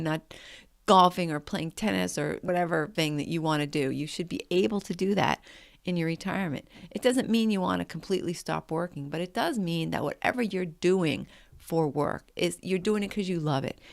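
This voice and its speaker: A woman in her late fifties hosts a motivational podcast. She talks in a chatty, conversational way. Her voice sits a little low with a gravelly edge, and it is recorded dry and close. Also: no echo. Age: 50s. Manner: chatty and conversational, with frequent "like" and "I mean". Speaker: podcast host.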